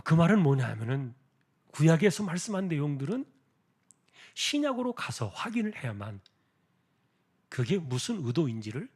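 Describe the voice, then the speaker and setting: A man preaching an expository sermon as a pastor, explaining deliberately with rhetorical questions and a husky voice. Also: on the high side, a little mellow. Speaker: pastor preaching at a church prayer meeting.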